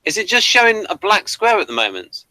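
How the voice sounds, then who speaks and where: A British man hosting a casual online review chat in a rambling, halting way. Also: high voice, very animated, pushed and bright, no echo, rough recording. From Britain. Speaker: host of online hangout. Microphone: webcam livestream setup.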